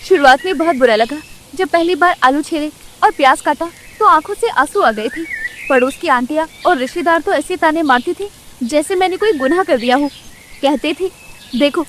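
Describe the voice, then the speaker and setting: A woman voicing a confident young woman who sells samosas and speaks calmly about her work in a storybook cartoon. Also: sits high, moderate pace, a little hiss or hum, voice pushed up. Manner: calm, self-assured.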